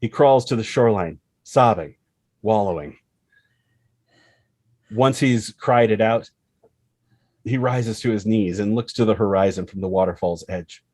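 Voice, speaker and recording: Man, low voice, slightly rough, dramatic script narration, punchy expressive phrasing, dry close sound. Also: unhurried, pauses often, no room sound. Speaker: screenplay reader.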